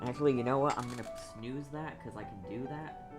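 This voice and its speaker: A woman's voice: very deep voice, brisk, music in the background. A woman livestreamer reacting casually to a video game as she plays.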